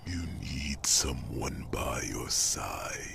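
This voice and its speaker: A man playing an ancient, tender, wise dragon in an ASMR fantasy roleplay, murmuring slowly in a gravelly half-whisper. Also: a bass voice, bright, forceful tone, exaggerated voice. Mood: wistful. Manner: slow, pausing, murmuring. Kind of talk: ASMR fantasy roleplay, slow intimate monologue.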